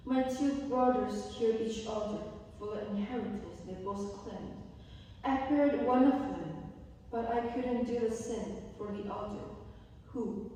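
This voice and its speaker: A young woman acting a grieving, lonely princess who narrates a family tragedy in measured, mournful reader's theater. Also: medium pitch, warm tone, a little hiss or hum, close to an everyday voice. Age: young adult. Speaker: student actor in reader's theater. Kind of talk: scripted dramatic narration. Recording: echoey hall, distant, music behind.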